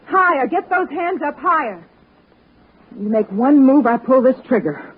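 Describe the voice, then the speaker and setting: A woman's voice: high-pitched, animated, a little slow, slightly rough, heavily acted. An actress playing a tough, plain-spoken young ranch woman with a Western American accent in a 1960s radio drama. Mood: commanding, wary. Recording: muffled narrow-band old radio recording.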